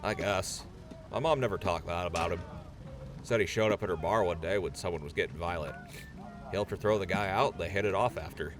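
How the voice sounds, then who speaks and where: A man's voice: low voice, brisk, music in the background, close to an everyday voice. A man playing a nervous, earnest young infantry soldier in an audio drama, shy and hesitant.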